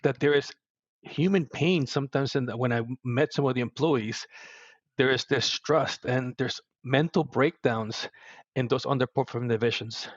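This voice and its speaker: A man's voice: medium pitch, normal speed, no room sound, lo-fi sound. Middle-aged man with a Puerto Rican accent. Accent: Puerto Rican Spanish. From Puerto Rico. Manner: earnest, reflective, with frequent restarts. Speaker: guest, service executive. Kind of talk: podcast interview.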